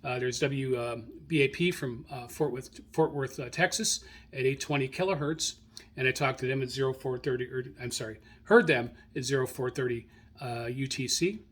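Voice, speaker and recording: A man hosting a radio hobby show, informally reading through his logs in a halting, self-correcting way as he searches his notes. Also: mid-range voice, a bit bright, husky. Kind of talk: informal spoken log rundown, unscripted.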